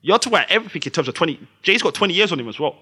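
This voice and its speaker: A man's voice: fairly high pitch, slightly rough. A young man from Somaliland co-hosting a podcast, arguing and teasing his friends in a casual chat. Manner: animated, teasing, quick talk. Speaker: podcast co-host.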